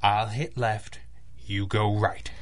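An actor playing a cool, wry masked crime-fighter in a radio drama, speaking with dry, assured authority. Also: male voice, fairly low pitch, level tone, slow. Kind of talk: 1930s-style radio drama acting.

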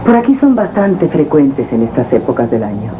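An actress playing a composed, formal, coolly polite middle-aged manageress in a 1970s telenovela. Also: female voice, rumbling low, sing-song. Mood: composed, attentive. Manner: formal, measured. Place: indoor studio set. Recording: muffled, noisy old TV tape.

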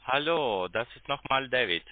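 A man with a foreign accent, a call centre agent speaking halting, broken sentences and repeating himself. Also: medium pitch, expressive, no room sound. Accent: foreign accent in German. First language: not German. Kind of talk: phone conversation. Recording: dry narrow phone line.

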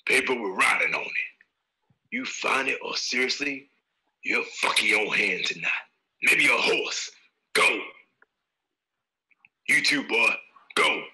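A sadistic frontier outlaw father, acted out by a man for a radio drama. The voice is slow, gravelly and very sharp, with a drawl full of menace and contempt. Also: medium pitch, lively intonation, full character voice, poor audio. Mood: angry contempt. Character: brutal, sadistic, foul-mouthed Western outlaw father. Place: home, on a group video call.

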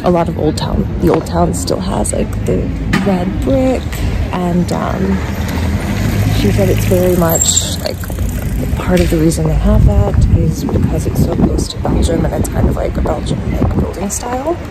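A young American woman talking casually as a travel vlogger while walking city streets, filling her talk with "um" and "like", her voice low and rough from dry air. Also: normal speed. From United States. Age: young adult. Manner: chatty, many fillers like "um" and "like". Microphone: phone camera held at arm's length. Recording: loud street noise behind.

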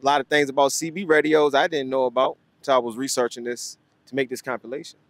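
A man's voice: medium pitch, little pitch movement, fast talker, a bit bright, dry recording. A man hosting a video, talking casually to his viewers. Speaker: host.

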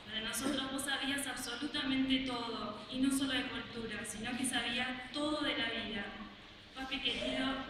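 Young Argentine woman reading a eulogy. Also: sits high. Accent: Argentine Spanish. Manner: heartfelt, steady reading. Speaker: granddaughter giving a eulogy. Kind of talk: reading a prepared farewell speech. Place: large church, indoors. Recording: echoey church from far off, with background noise.

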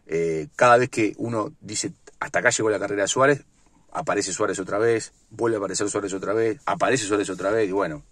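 Uruguayan man in his 40s, blunt sports rant, lowish voice, dry close sound, recorded in a car on a phone. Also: brisk, fairly bright tone, no echo. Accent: Uruguayan Spanish. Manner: blunt and ranting, many fillers and restarts. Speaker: sports vlogger. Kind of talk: opinionated solo football commentary. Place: inside a parked car. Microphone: phone or webcam at arm's length.

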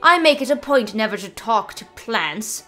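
A woman's voice: on the high side, animated, pushed and bright. Proud old wizard voiced by a woman, stiff and testy, faint music behind. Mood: lecturing. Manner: measured, prim and huffy. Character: old male wizard, proud and easily annoyed. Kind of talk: storybook reading.

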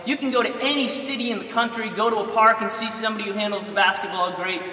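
A young man, a basketball coach, making an urgent, emphatic sales pitch straight to the camera in a large indoor gym. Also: steady tone, quick pace, pushed and bright. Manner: urgent, emphatic, direct address. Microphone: lapel mic. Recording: echoey gym from far off.

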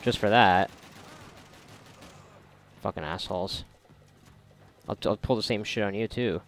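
Low, creaky-voiced man commenting on games. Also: measured pace, a bit bright, a little hiss or hum. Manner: sarcastic, profane, muttering to himself. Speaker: gamer doing a playthrough. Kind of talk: casual gameplay commentary.

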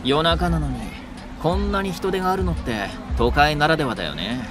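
An actor playing the composed but blunt twenty-two-year-old eldest of a delinquent gang in an audio drama. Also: male voice, a little high, animated, brisk, over music, natural voice. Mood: calm, gentle. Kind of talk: Japanese audio drama acting.

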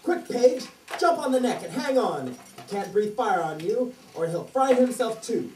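A woman's voice: a little high, expressive, moderate pace, darkish tone, lightly acted. A woman voicing a brave, gallant prince in a fairy-tale puppet show, with theatrical, declamatory delivery. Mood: urgent, bold. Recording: distant echoey stage, faint noise.

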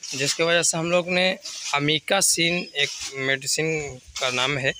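Bright-voiced Bihari dairy farmer vlogging. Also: male voice, fairly high pitch, a little slow, no echo. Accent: Bihari Hindi. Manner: conversational, explanatory, mixes English words. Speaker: dairy farmer and channel host. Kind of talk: casual walkthrough vlog. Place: open-sided cattle shed outdoors.

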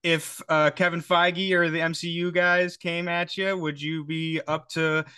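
Male, young adult, interviewer. Bright, punchy tone, enthusiastic casual delivery, close and dry recording. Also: on the high side, little pitch movement, unhurried, clean tone, no echo. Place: indoors, on a video call.

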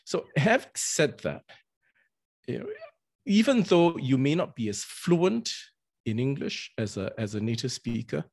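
A middle-aged man from Singapore talks earnestly over a video call, pitched at an ordinary middle register. He often restarts his phrases and adds fillers as he explains his points. His voice comes through a webcam microphone. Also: big pitch swings, measured pace. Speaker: barrister and arbitrator. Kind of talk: webinar talk. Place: indoors with virtual green backdrop.

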